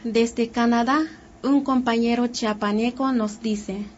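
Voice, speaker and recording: A woman hosting a community radio show, slowly introducing listener messages in a measured way. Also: a little high, fairly even intonation, over music. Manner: slow, measured address to listeners. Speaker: radio host. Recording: dull lo-fi community radio sound.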